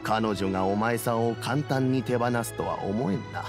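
An actor playing an elderly, measured male mentor in an anime video game, with old-fashioned phrasing. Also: mid-range voice, over music, close to an everyday voice. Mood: deliberate.

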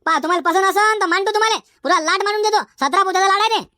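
A performer voicing a haggling, bantering cartoon chilli-market trader, squeaky and folksy, in comedic dialogue. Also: rapid-fire, sharp tone, no echo. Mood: firm haggling. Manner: folksy, insistent bargaining. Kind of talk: comedic cartoon dialogue in colloquial rural Marathi.

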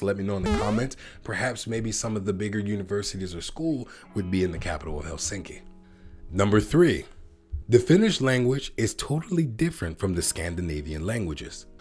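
An American man in a casual vlog, rambling a bit and talking straight to his viewers, often calling them guys. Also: fairly low pitch. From United States. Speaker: vlogger. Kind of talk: casual reaction vlog to camera.

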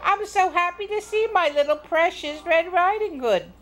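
A woman's voice: extremely high pitch, lively intonation, normal speed, a bit bright, pitched above the performer's own voice, low-quality recording. A woman voicing a kindly, doting old grandmother in a children's story, cheerful and welcoming. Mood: delighted.

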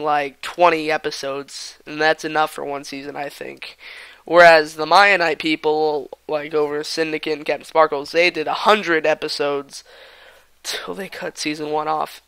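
Raspy young man, excitable gameplay chatter. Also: on the high side, no echo, low-quality recording. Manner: rambling, excitable, mutters and whispers asides. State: raspy from a cold. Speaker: gaming channel host. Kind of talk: casual gameplay commentary.

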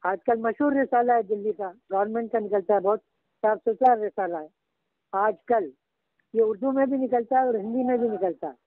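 An older man teaching Urdu literature, slowly and patiently dictating references to a student. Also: sits high, no echo. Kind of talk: guiding a student over the phone. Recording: tinny phone line.

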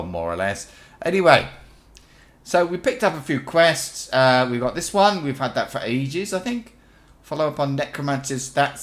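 Man, croaky, gameplay host, rambling, casual commentary. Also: mid-range voice, fairly bright tone. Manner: rambling, chatty, thinking aloud with restarts. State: croaky from illness. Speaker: let's play host.

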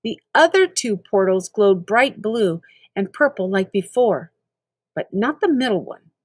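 Older american lady reading a kids book out loud, expressive, medium pitch, no room echo. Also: a little slow, studio quality. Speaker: storybook reader. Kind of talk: expressive read-aloud of a children's novel.

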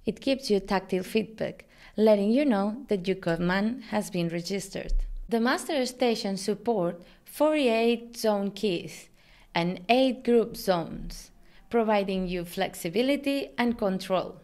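A woman's voice: medium pitch, expressive, unhurried, a little mellow. A woman in her thirties presenting a scripted product tutorial in an upbeat, promotional way. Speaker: company presenter, digital marketing manager.

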